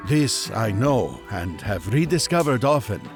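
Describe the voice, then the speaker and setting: An earnest, formal pilgrim, low-toned. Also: male voice, sing-song, faint music behind. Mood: earnest, weary. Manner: formal, solemn, measured. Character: devout Magian pilgrim, weary, earnest, courteous. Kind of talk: dramatic audio drama acting.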